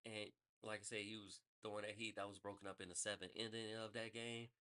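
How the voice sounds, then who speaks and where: A man's voice: fairly low pitch, level tone, fast talker, sharp tone. A man hosting a sports podcast, reading baseball news in a loose, rambling way and correcting himself as he goes. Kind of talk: casual sports news rundown.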